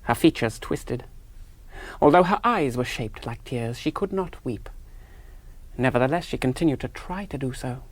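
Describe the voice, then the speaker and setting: An actor playing an earnest, priggish young rationalist hero, narrating a radio drama in precise, fastidious literary phrases. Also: male voice, medium pitch, expressive, lightly acted. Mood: wondering.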